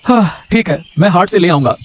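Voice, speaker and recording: A man's voice: high-pitched, expressive, fairly quick. A man voicing a poor, meek village husband in a cartoon, hesitant, apologetic and pleading, often repeating his phrases. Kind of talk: melodramatic Hindi cartoon voice acting.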